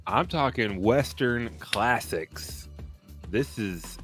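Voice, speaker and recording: A man hosting a casual film podcast, rambling and relaxed, often laughing between thoughts. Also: fairly low pitch, slow, soft background music. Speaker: podcast host.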